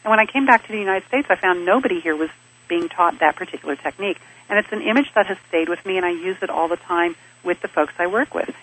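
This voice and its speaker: A woman who grew up in Switzerland, answering interview questions in a measured, explanatory way and stringing her points into lists. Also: lowish voice, a bit bright, a little hiss or hum, low-quality recording. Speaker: interview guest, business advisor. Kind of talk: thoughtful conversational interview answers.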